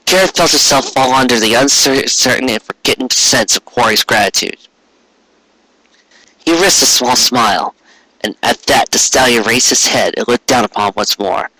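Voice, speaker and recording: A man's voice: mid-range voice, normal speed, sharp tone, no room sound, low-quality recording. A man reading a scripted story aloud as an audiobook narrator. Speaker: audiobook reader.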